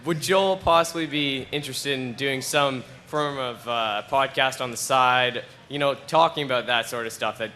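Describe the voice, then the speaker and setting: A man in an audience asking a rambling, halting, casual question into a live event microphone. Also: medium pitch, bright, forceful tone, a little hiss or hum. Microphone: shared audience microphone.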